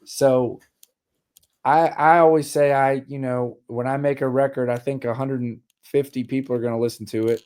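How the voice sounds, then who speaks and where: A musician in his forties, from Richmond, Virginia, speaking as a radio guest in a candid, wry, matter-of-fact way. His tone is mellow and relaxed, and his voice sounds a little worn. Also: mid-range voice, normal speed. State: slightly losing his voice. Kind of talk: casual interview chat.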